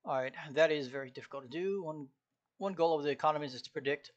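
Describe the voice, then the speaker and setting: A man casually talking to himself while studying on a live stream, often clearing his throat, which feels irritated. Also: fairly high pitch, quick pace, highs cut off. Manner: frequent throat clearing, laid-back, reciting notes from memory.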